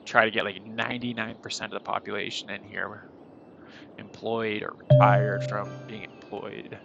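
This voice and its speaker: A man's voice: lowish voice, level tone, normal speed, creaky, lo-fi sound, over music. A man with a head cold and a nasal voice, chatting casually and rambling while he plays a city-building game on stream. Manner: rambling, chatty, self-deprecating. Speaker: gaming streamer. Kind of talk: casual gameplay commentary.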